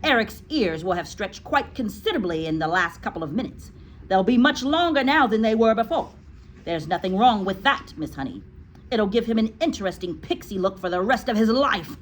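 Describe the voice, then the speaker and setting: A woman voicing a cruel, bullying headmistress in a storybook, loud, emphatic, scornful and gloating. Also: medium pitch, expressive, fairly quick, a little hiss or hum, voice pushed up, lightly acted.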